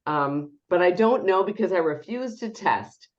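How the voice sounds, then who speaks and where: A low-pitched woman with a nasal voice, still getting over an illness, introduces a webinar in a chatty manner full of ums. The sound is a little dull and lo-fi, as on a video call. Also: lively intonation, a little slow. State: recovering from illness. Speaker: webinar host and company CEO.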